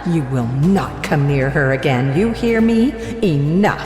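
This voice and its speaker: A woman's voice: deep voice, animated, measured pace. A woman playing a stern, protective mother in a fairytale drama, speaking emphatically. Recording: reverberant voice over prominent music.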